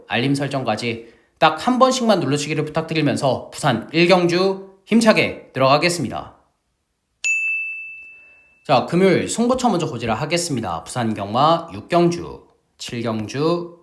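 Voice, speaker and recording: A middle-aged man runs a horse racing tips show with emphatic, salesman-like drive. His fairly high voice swings up and down with lively intonation. He is just getting over a sore throat. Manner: lively, emphatic salesman-like delivery, addresses viewers as family. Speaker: horse racing tipster host. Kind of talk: energetic betting-tips broadcast.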